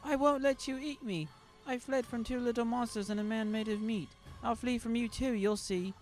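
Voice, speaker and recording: A man voicing a frightened little red-headed boy who yells in a high, loud, panicky voice. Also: expressive, moderate pace, faint music behind, low-quality recording. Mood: defiant panic.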